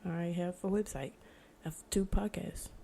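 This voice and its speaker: A woman's voice: lowish voice, fairly even intonation, normal speed, vocal fry, dark tone. An American woman in her twenties talking informally and reflectively in a casual solo podcast monologue. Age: 20s. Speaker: podcast host. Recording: noticeable background noise.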